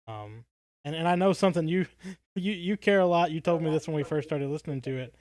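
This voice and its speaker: A man co-hosting a casual fan podcast, talking in a halting, thoughtful way that circles back over his phrases. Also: a little high, a little mellow. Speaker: podcast co-host.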